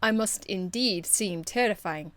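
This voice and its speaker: Woman acting stern female holy warrior, noble, formal, measured, earnest, forceful tone, dry close sound, fantasy game. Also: medium pitch, dry recording, lightly acted. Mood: solemn. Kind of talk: amateur game character voice acting demo.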